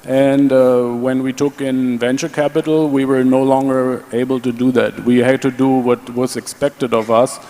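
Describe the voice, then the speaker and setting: Mellow-voiced panelist man in a hall. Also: mid-range voice, flat delivery, normal speed, hardly any pauses. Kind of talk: conference panel discussion. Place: large conference hall. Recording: reverberant conference hall.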